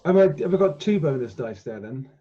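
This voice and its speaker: A man's voice: medium pitch, animated, a little fast, a crowd in the background. A man chatting casually as a player in a tabletop role-playing game. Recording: slightly dull, faint noise and clicks.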